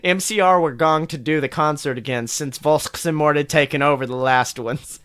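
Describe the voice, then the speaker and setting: A man reads a story aloud for comedy in a fairly high, crisp-edged bright voice. He is playful and mock-dramatic, dropping in sardonic asides. The sound is dry and close. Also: moderate pace, no echo. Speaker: podcast co-host.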